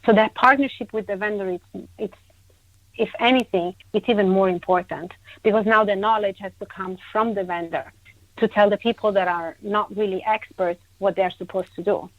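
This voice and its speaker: A woman from Italy, a guest in a conversational interview, emphatic and quick to repeat her agreement. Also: mid-range voice, fairly even intonation, no echo. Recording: tinny phone-line sound, dry.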